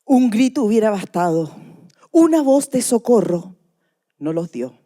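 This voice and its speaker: A solemn Chilean mother in a radio play, recounting her murdered daughter's fate. Her voice is soft-edged and dark, her delivery intense and full of grief. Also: fairly low pitch. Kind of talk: Spanish-language radio play, dramatic narration.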